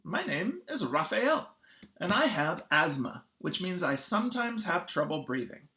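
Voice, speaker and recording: Teacher reading a kids book out loud, really animated, voice goes up and down a lot. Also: mid-range voice, a little slow. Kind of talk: children's read-aloud narration.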